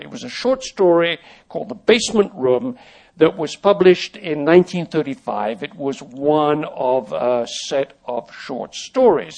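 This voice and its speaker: An older man, a native French speaker, recalls his past in a meandering manner, stopping and restarting often. His voice sits a little high for a man. He speaks as a guest in a relaxed conversation. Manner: rambling, halting, with many restarts and fillers. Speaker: interview guest, former child actor. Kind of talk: conversational storytelling.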